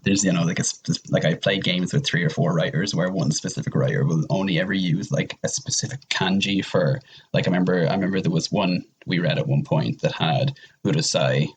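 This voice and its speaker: A man's voice: low voice, fairly quick, a little hiss or hum. A man in casual podcast conversation, talking in a rambling, conversational way as he gives advice. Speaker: podcast panelist giving language-learning advice.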